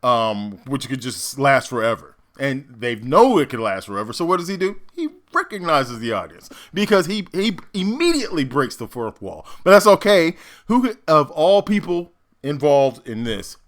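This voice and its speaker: A man, an actor, giving casual reaction commentary and explaining stagecraft in an emphatic way, often halting as he thinks aloud. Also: mid-range voice, big pitch swings, fairly bright tone.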